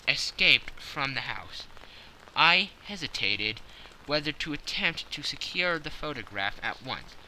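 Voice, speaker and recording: Confident gentleman sleuth, played by a male reader, precise and self-satisfied, keen forward tone with lively inflection. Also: medium pitch, slight background noise, heavily acted, rough recording. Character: confident, analytical gentleman detective explaining his schemes. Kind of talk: audiobook character acting.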